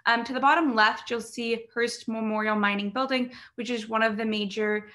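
A woman's voice: a little high, steady tone, moderate pace, bright, forceful tone. A young woman from Southern California, a student tour guide talking casually with many fillers over a video call. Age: young adult. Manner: friendly, filler-heavy. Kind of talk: casual presentation.

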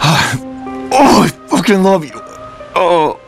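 A man's voice: high-pitched, lively intonation, slow pace, creaky, full character voice. A man playing an awkward, easily panicked young boyfriend in a video game cutscene, stammering and swearing. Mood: bashful. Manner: hesitant, stammering, foul-mouthed. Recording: loud music behind the voice.

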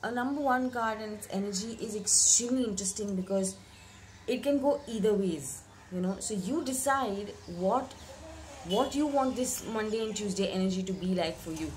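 A young woman, slightly hoarse from a cold, giving a reflective weekly tarot reading to camera in a small room, often repeating words for emphasis. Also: mid-range voice, moderate pace, a little mellow, slight background noise, rough recording. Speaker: tarot reader. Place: bedroom with curtained window, indoors.

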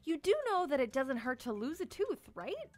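A woman voicing a gentle, reassuring, affectionate mother in a children's storybook. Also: sits high, big pitch swings, normal speed, faint music behind. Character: gentle, tired, reassuring mother of two girls.

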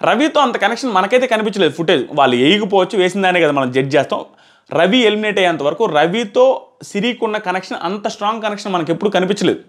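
A man's voice: high voice, lively intonation, fast. A man gives a casual spoken review to camera, explaining and repeating his points for emphasis. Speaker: reality show reviewer. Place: small plain indoor room. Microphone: clip-on lapel mic.

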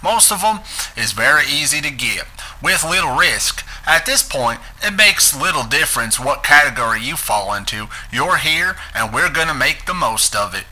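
A man's voice: on the high side, very animated, moderate pace, sharp tone, poor audio. A man giving a dramatic reading of a script as its narrator. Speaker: narrator reading a transcript aloud.

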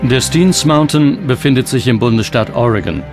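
A man's voice: mid-range voice, lively intonation, normal speed, over music. A man delivering scripted narration for a documentary.

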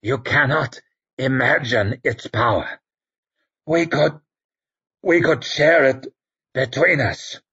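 A man voicing a wounded male zealot with a croaking voice, fervent and pleading. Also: medium pitch, slow, long pauses, sharp tone, lo-fi sound, voice pushed up, exaggerated voice. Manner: urgent, pleading.

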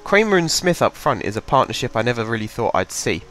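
A man's voice: mid-range voice, sing-song, quick pace, fairly bright tone. Chatty man with a cold. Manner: chatty, rambling, thinks aloud with fillers. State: has a cold, congested. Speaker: gaming channel host. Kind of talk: casual game commentary. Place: home desk.

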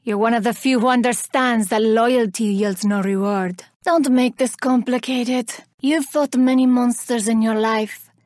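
Lady doing a scornful doom herald reaper woman for a game, cold n haughty, higher voice, super dry recording. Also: fairly even intonation, unhurried, a little mellow, no echo, close to an everyday voice. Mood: haughty contempt. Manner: cold, haughty, theatrical delivery. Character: menacing, scornful female reaper herald, vengeful and doom-preaching. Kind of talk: video game character voice lines.

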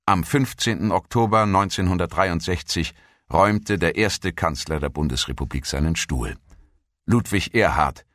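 A man reading scripted historical narration as a documentary narrator, in a measured, formal manner. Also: low voice, fairly bright tone, no room sound, clean studio sound. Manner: measured, formal read-out delivery.

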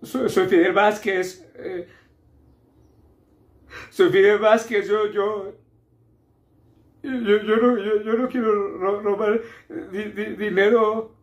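A man's voice: on the high side, fairly even intonation. A man playing a confused, pleading drug addict who stammers and repeats himself in a theatrical monologue. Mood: tearful, distressed. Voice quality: stammering, halting speech. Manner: fragmented, obsessively repeating himself. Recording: echoey room.

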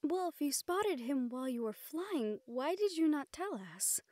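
Woman acting a high-voiced, tender fantasy sweetheart. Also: animated, moderate pace, warm tone, no room sound, clean studio sound. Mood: soft, earnest. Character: gentle, playfully scolding fantasy woman courting a dragon general. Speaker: audio roleplay voice actor. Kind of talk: romantic fantasy audio roleplay.

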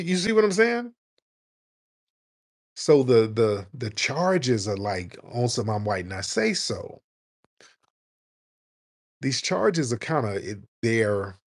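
A man hosts a call-in show. He argues hard with callers and keeps pushing rhetorical questions. His voice sits at a middling pitch. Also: slightly rough. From United States. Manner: combative, rhetorical questions, acts out quoted voices. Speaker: livestream show host. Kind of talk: opinionated commentary with callers.

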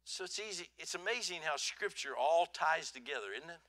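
An older man preaching a folksy sermon on a church stage, swinging from quiet asides to loud emphasis. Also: fairly high pitch, lively intonation, brisk. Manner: folksy, swings from soft to loud, asks "huh?".

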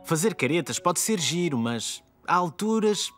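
A man playing a calm, mildly firm young father with a European Portuguese accent in a children's cartoon dub. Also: fairly high pitch, measured pace, faint music behind. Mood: calmly serious.

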